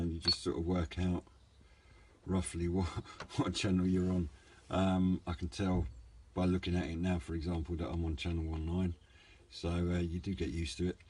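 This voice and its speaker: A man with a low voice talks through a hobby product review without a script. His delivery is hesitant and low-key, wandering a little as he goes. There is clear background noise in the recording, picked up on a handheld camera. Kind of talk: casual, unscripted product review.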